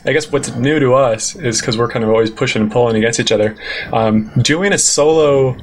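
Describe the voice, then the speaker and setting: A man's voice: mid-range voice, a little fast, a little hiss or hum. A man co-hosting a podcast, chatting casually and complimenting his guest. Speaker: podcast co-host. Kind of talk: casual interview chat.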